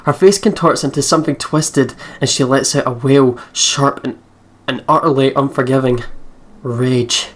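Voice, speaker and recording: A man's voice: medium pitch, fairly even intonation, normal speed. A man reading a visual novel aloud as a let's player, with casual asides.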